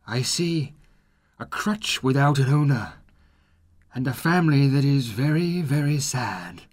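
A man voicing a solemn ghost who speaks gravely and sadly. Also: on the high side, lively intonation, slow pace, dry recording, clean studio sound, voice pushed up. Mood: sorrowful. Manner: grave and sad. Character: solemn, sorrowful Christmas ghost.

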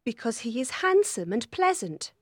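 A woman voicing a spoiled, unhappy young woman who talks softly and pleads for advice in an audiobook reading. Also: fairly high pitch, big pitch swings, moderate pace, no room sound, clean studio sound. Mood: pleading. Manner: soft, pleading, self-absorbed. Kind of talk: audiobook character voice.

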